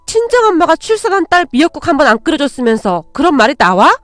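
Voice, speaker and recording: A woman's voice: high voice, sing-song, fast, fairly bright tone, no room sound. A woman voicing a resentful, wounded young new mother in a dramatized story, with an emotional delivery. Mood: indignant.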